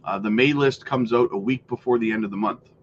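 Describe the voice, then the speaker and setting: Hoarse man hosting a crypto livestream. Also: fairly low pitch, brisk, dull audio, slight background noise. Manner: rambling, many fillers, reacts to chat. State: losing his voice. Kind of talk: casual livestream chat reading viewer comments.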